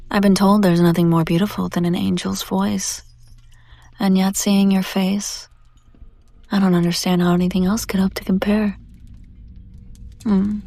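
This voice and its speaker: A woman playing a seductive, domineering demon in an intimate audio roleplay, teasing and condescending, often slipping into breathy whispers. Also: medium pitch, a little mellow. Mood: teasing, admiring. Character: seductive, domineering female demon buyer taunting a captive angel. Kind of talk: intimate scripted audio roleplay addressed to the listener.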